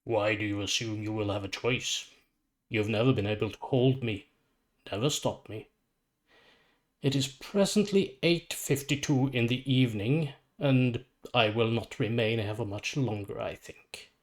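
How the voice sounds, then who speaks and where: Guy doing a calm old death-guide supervillain for a story, measured n formal, kinda quiet and matter of fact. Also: medium pitch, lightly acted. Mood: calm, unbothered. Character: calm, weary, ancient psychopomp supervillain, death's courteous guide.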